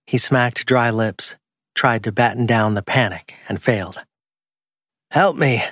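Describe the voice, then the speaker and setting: A man's voice: fairly low pitch, steady tone, measured pace, fairly bright tone, no room sound, studio quality. A man reading crime fiction as a scripted audiobook narration.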